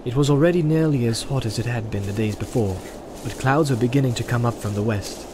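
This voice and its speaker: Male fantasy-audiobook narrator, mid-pitched, even and unhurried. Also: noisy background. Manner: even, storytelling delivery. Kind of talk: scripted narration.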